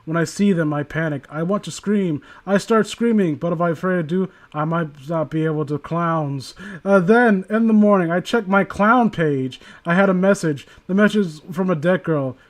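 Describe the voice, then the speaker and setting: A man's voice: high voice, moderate pace, few pauses. A man casually reading aloud and commenting, sardonic and prone to profane asides. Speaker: host reading and critiquing internet horror stories.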